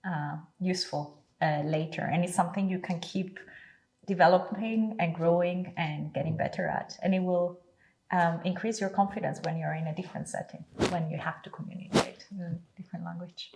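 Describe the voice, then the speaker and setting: Woman, kinda low voice, musician answering questions slow and earnest, little pauses to think, faint hiss behind. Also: fairly even intonation, creaky, darkish tone, low-quality recording. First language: Greek. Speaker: guest, orchestral musician.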